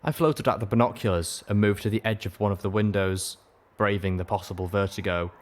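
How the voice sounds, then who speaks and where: A man's voice: fairly low pitch, brisk. A man reading scripted first-person fiction as an audiobook narrator.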